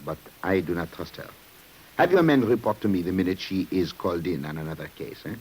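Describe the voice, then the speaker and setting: An actor playing a shrewd, suspicious French police commissioner in an old radio drama, formal, courteous and probing, with an air of authority. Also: male voice, sits low, animated, brisk, a little mellow, husky, low-quality recording. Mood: suspicious, decisive. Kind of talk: 1950s radio drama acting. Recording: hissy old radio recording.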